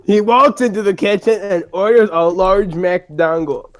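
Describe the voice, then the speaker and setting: A man's voice: high voice, steady tone, unhurried. A man narrating a comedic script reading, giggly and often slipping into casual joking asides.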